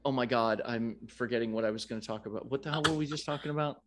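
Man, podcast host, loud, talkative, frequent laughter, casual interview. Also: medium pitch, fast, background noise.

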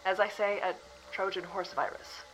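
A woman acting a composed female official from the future in an audio drama, calmly apologetic and matter-of-fact. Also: mid-range voice, normal speed, soft background music, lightly acted, poor audio.

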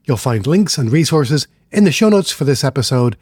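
A man's voice: mid-range voice, big pitch swings, normal speed, darkish tone, husky, no echo. Male podcast host, dry close mic. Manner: friendly, scripted intro then conversational questions. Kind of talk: podcast interview.

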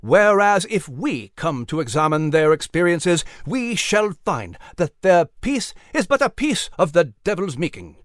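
A woman's voice: fairly low pitch, sing-song, unhurried, few pauses, dry recording, studio quality. A woman playing a fervent male revival preacher in a historical story, with a solemn, imploring, oratorical delivery. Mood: fervent, stern.

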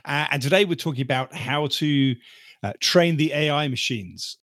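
A man hosting a casual panel podcast, speaking in a halting, hesitant way. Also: fairly high pitch, over music. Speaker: podcast host.

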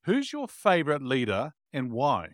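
A man hosts an interview over a video call, moving the talk along with brief questions and quick acknowledgements. His voice sits in the ordinary middle range for a man. The sound is dry and close. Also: medium pitch, wildly swooping pitch, no echo. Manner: short prompts and acknowledgements. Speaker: podcast host.

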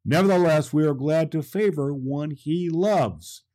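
A man's voice: on the high side, a little slow, dark tone, voice pushed up, close to an everyday voice. A man voicing a kindly little flower sprite from a storybook, speaking in a stately, old-fashioned, reassuring way. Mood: reassuring, solemn.

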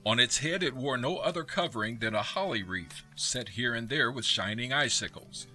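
A man playing the narrator of a radio play, articulate and expressive in scripted theatrical narration. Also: medium pitch, moderate pace, soft background music. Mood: relishing, wondering. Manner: articulate, relishing descriptive lists. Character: expressive storybook narrator of a ghost story.

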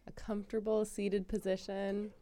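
A woman leading a guided meditation, giving soothing, unhurried instructions with pauses between phrases. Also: medium pitch, flat delivery, dark tone.

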